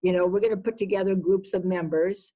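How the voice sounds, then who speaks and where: A woman's voice: medium pitch, steady tone, fairly quick, warm tone, muffled recording. A woman executive giving conversational interview answers, emphatic and repeating words for stress. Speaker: business executive being interviewed.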